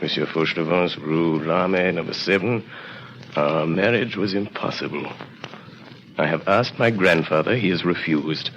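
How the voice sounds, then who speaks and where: A protective older father with a low, deep voice. He speaks in a soft, measured, tender way, in 1930s radio acting style. The recording is dull and faintly noisy. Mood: solemn, subdued. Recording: muffled, faintly noisy 1937 radio broadcast.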